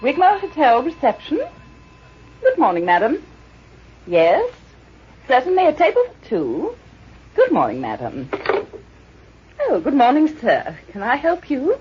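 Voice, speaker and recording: An actress playing a chirpy, obliging hotel receptionist in an old-time radio drama, eager to please. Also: female voice, high-pitched, wildly swooping pitch, a little slow, long pauses, background noise, full character voice. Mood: bright, professional. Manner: sing-song, eager to please. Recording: dull, muffled old radio recording.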